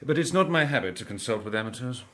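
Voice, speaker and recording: Man as a sharp, brusque Victorian doctor. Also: medium pitch, animated, quick pace, natural voice. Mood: curt, defensive. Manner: brusque, curt, authoritative. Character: proud, irascible Victorian country doctor. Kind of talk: audio drama acting.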